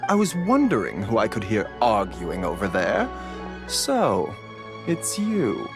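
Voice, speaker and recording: A man's voice: lowish voice, wildly swooping pitch, takes it slowly, over music, exaggerated voice. A man playing a courteous, wry pharmacist in a video game, with a polite lilting way of talking. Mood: mildly amused.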